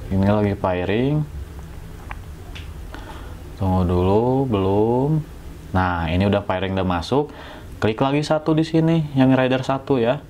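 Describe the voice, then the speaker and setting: Male vlogger, lowish voice, West Java Indonesian accent, informal jokey tutorial chat, noticeable room noise behind him. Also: animated, unhurried, pauses often, a little mellow. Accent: Sundanese-region Indonesian. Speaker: motovlogger. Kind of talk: casual hands-on tutorial chat. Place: indoors at home.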